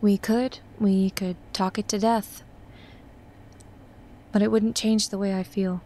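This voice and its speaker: An actress playing an unhappy, resigned partner in a naturalistic audio drama, speaking frankly. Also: female voice, mid-range voice, level tone, slow, warm tone, slight background noise, natural voice.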